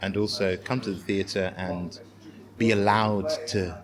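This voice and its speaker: Low-voiced, enthusiastic man at a party. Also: flat delivery, a little slow. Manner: enthusiastic, searching for words. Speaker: interviewee from the production. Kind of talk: casual chat interview. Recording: loud music behind. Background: party music.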